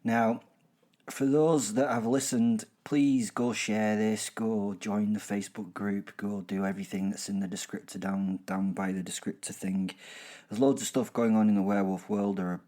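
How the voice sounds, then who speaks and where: Low-voiced, wry British werewolf played by a man. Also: fairly even intonation, moderate pace, warm tone, natural voice. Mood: casual, wry. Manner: wry, rambling, darkly humorous asides. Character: gleeful, boastful werewolf killer on the run. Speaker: narrator and host of a horror audio serial. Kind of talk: chatty first-person dramatic storytelling.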